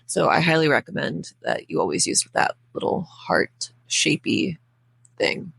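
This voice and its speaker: Crackly, creaky-voiced woman chatting through a tutorial. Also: low-pitched, very animated, a little slow, fairly bright tone, no room sound. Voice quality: crackly, raspy. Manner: chatty, informal, rambling asides. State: crackly voice from being under the weather. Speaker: nail art tutorial host. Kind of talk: casual voice-over walkthrough.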